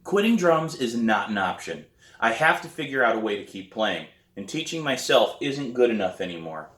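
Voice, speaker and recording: A man reading a novel aloud as its author, with expressive, deliberate and dramatic storybook narration. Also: mid-range voice, reverberant.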